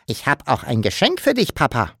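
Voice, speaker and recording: A man's voice: mid-range voice, huge pitch swings, fairly quick, vocal fry, fairly bright tone, slightly rough, dry recording, studio quality, heavily acted. A man voicing a playful, mischievous childlike creature in an audiobook, with a bouncy, teasing way of talking. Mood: cheeky. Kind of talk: audiobook character voice.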